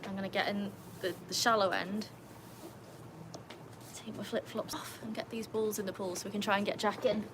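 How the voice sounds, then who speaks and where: A woman's voice: medium pitch, steady tone, normal speed, noisy background. A woman from England with an English accent, chatting casually through a livestream by her backyard pool and calling out to her dogs. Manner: chatty, laughs often, calls to her dogs. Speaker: livestream host.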